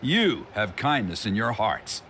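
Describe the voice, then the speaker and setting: A man voicing a wry, gossipy, exasperated tribesman in a video game, chatty and expressive. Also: fairly low pitch, measured pace, a little hiss or hum. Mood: persuasive. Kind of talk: video game character acting.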